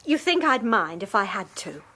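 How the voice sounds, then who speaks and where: An actress playing a concerned, patient wife in a radio drama, gentle and hesitant. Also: female voice, mid-range voice, very animated, normal speed. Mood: anxious. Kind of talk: 1980s radio drama acting.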